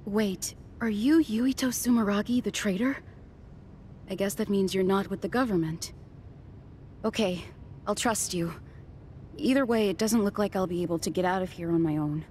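A woman's voice: mid-range voice, moderate pace, a little mellow, slight background noise, natural voice. Sober, hesitant woman researcher, played by an actress. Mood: guarded. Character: guilt-ridden adult woman researcher, guarded and sincere. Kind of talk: anime game voice acting.

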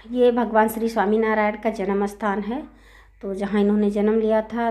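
Soft-voiced woman narrating a temple tour. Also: on the high side. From India. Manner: chatty, many fillers, guiding viewers. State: sore throat, occasional cough. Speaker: vlogger. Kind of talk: casual travel vlog voice-over. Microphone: voice-over recorded separately.

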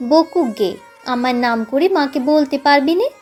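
A woman's voice: high-pitched, animated, measured pace, darkish tone. A woman voicing a bossy, impatient twelve-year-old village boy in a narrated story. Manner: commanding, impatient with younger brother. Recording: music playing behind the voice.

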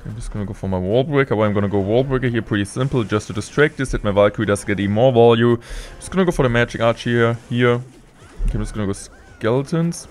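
Young man giving steady gameplay commentary. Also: mid-range voice, fast, slight background noise. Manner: steady play-by-play, excited bursts at big plays. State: slightly sick. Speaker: game streamer. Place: indoor streaming room.

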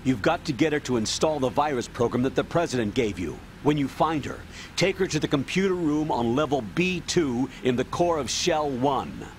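An actor playing a male military officer in a video game, issuing orders briskly and with authority. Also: mid-range voice, sing-song, slight background noise. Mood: commanding. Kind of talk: dramatic game cutscene acting.

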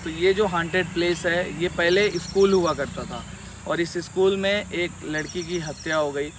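A man vlogging as he explores an abandoned school by day, talking in an excited, spooked way and mixing in English words. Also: fairly high pitch. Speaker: vlogger exploring a haunted place. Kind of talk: casual walk-through vlog. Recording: loud insect and cricket noise behind.

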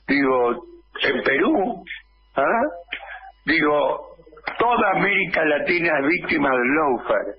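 Argentine male radio commentator, 60s, husky, deliberate, emphatic, lo-fi studio sound. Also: fairly high pitch. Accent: Argentine Spanish. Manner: slow, emphatic, insistent, with frequent "eh" fillers. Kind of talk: political radio editorial. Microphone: studio mic on boom arm, wearing headphones.

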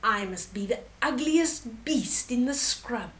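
Lady doing a proud ugly hyena from a kids storybook, theatrical, slowish and highish. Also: lively intonation. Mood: boastful. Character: boastfully ugly spotted hyena, spiky and grotty. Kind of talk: storybook character reading.

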